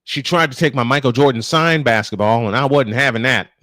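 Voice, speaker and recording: A man's voice: mid-range voice, fairly quick, pushed and bright, no room sound. American man calling baseball, dry close sound. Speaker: baseball play-by-play announcer. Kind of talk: play-by-play commentary mixed with casual chat.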